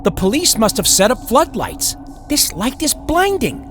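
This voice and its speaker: A man voicing a nervous driver who exclaims in quick, excited bursts. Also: extremely high pitch, soft background music, pitched above the performer's own voice, exaggerated voice. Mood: alarmed. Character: male driver, startled and nervous.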